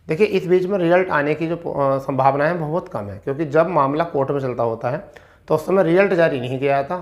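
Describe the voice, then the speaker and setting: A man with a slightly high voice talks to students like a coaching teacher. His explanation is informal, and he repeats important phrases to stress them. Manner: repeats key phrases for emphasis. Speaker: exam coaching teacher giving recruitment updates.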